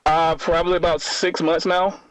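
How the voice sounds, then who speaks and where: A man in his thirties calling a radio show, answering haltingly and defensively with stammered restarts. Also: a little high, flat delivery, no echo. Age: 30s. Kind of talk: spontaneous phone-in conversation.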